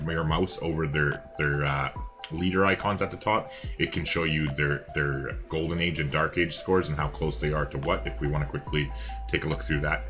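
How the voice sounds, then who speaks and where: A man's voice: extremely low pitch, pushed and bright. A man with a Canadian accent giving casual, chatty live commentary on a strategy game. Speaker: co-caster of a strategy game match.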